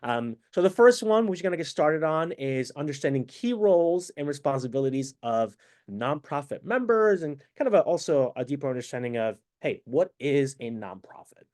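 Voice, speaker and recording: A man's voice: on the high side, lively intonation, moderate pace, a little mellow. A man from Venezuela leading an online training workshop over a video call, upbeat and interactive in manner. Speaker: workshop facilitator.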